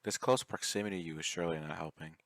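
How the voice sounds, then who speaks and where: A man playing an obsessive, clingy male yandere fan in an ASMR roleplay, speaking intimately and coaxingly, courteous even while threatening. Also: low voice, racing, dry recording, studio quality. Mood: politely menacing. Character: obsessive, clingy, soft-spoken young male yandere fan, politely menacing.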